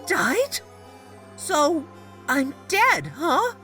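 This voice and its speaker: A tiny, devoted dog spirit for a game dub. The voice is extremely high and leaps all over the place, earnest and excitable, with music underneath. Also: female voice, extremely slow, heavily acted. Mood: confused. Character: eager loyal little Pomeranian dog spirit. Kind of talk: animated game fandub acting.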